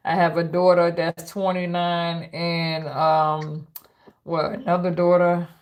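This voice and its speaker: A woman's voice: fairly low pitch, level tone, slow pace. A woman in her fifties from East Harlem with a New York accent, hosting a live call-in reading in an easygoing, chatty way. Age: 50s. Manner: easygoing, chatty, uses "girl". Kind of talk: casual live-stream call-in chat.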